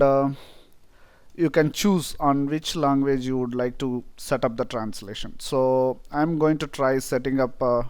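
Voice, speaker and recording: A man from India, a Tamil native speaker with an Indian accent, explaining a software feature step by step with many "uh" fillers. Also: medium pitch, clear, resonant tone, dry recording. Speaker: software tutorial presenter. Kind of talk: screen-recorded software tutorial.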